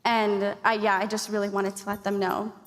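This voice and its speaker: A woman's voice: mid-range voice, little pitch movement, normal speed. A young woman from Arizona speaking on a conference panel, earnest and chatty, with lots of fillers and thanks. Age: young adult. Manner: earnest, grateful, chatty with many likes and fillers. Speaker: youth panelist. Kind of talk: conference speech. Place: large conference hall. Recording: long hall reverb.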